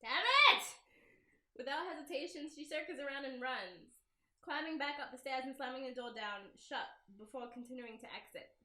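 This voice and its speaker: A woman doing a casual let's play, reading game text aloud and commenting in an expressive, slangy, giggly way. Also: high-pitched, moderate pace, reverberant. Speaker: game developer playing through her own game.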